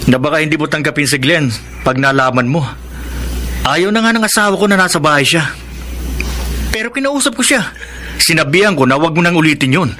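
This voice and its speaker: An earnest married younger brother in a Filipino radio drama. His voice sits high for a man. Noticeable noise runs behind the recording. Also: animated, a little fast, pauses often, bright, forceful tone. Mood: apologetic. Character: apologetic but admonishing younger married brother.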